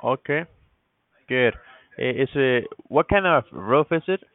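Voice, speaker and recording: A man's voice: mid-range voice, lively intonation, unhurried, no room sound. Hesitant man on a tinny phone line. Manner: hesitant, repeats himself. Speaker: roofing company caller. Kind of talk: phone sales call.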